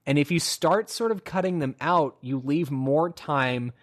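A man's voice: fairly high pitch, unhurried. A young man co-hosting a casual podcast, rambling reflectively with frequent restarts and fillers. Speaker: podcast co-host. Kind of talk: casual chat.

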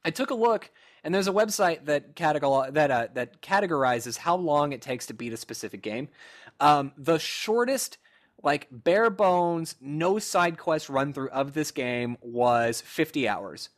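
Chatty young man, slightly high voice. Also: lively intonation, unhurried. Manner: rambling, self-interrupting, joking. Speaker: podcast co-host. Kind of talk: casual chat among friends.

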